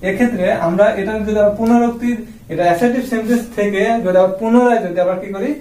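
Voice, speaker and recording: A man's voice: high voice, quick pace, a little mellow. A man giving a classroom-style explanation as a teacher, posing questions and answering them himself. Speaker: English grammar teacher. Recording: roomy echo, distant microphone.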